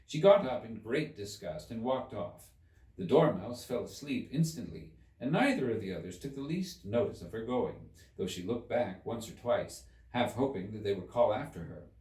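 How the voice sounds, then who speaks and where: A man reading a classic children's story aloud as expressive scripted narration. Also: mid-range voice, moderate pace. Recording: roomy echo, distant mic.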